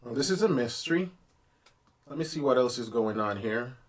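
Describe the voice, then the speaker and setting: A man teaching piano on a live stream, chatting casually with viewers and working through his setup out loud. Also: mid-range voice, animated, moderate pace. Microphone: streaming mic.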